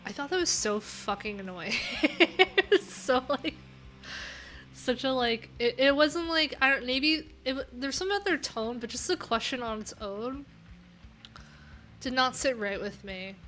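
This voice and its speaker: Slow-talking bright-voiced woman. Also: high-pitched, lively intonation, a little hiss or hum. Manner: rambling, candid, heavy on filler words. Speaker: livestream coding host. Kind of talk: casual live-stream chat.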